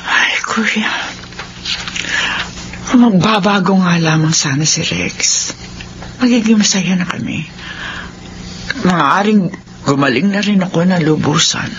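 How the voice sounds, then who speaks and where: Ailing, gentle mother, muffled sound. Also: fairly low pitch, slow, pauses often. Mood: tearful, wistful. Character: ailing, long-suffering, gentle widowed mother. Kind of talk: Filipino radio drama acting. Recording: dull radio sound, faint noise.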